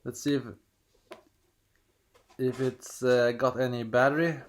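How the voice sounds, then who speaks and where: A man's voice: medium pitch, level tone, a little slow. A man from Norway with a Norwegian accent, chatting casually at home while unboxing, rambling and hesitant with many restarts, reading screen prompts aloud. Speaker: vlogger.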